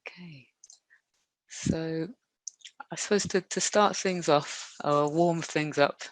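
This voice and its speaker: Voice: mid-range voice, fairly even intonation, slow pace, dry recording, low-quality recording. An academic chairing an online workshop, speaking politely and steadily with many fillers over a video call. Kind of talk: hosting an online academic event.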